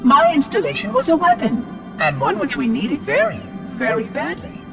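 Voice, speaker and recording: A fussy little robot caretaker with a high, bouncy voice. He speaks in a prim, condescending, quick way, swooping all over his range. Game cutscene with a score underneath. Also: huge pitch swings, music in the background, exaggerated voice. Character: fussy chirpy male AI monitor robot.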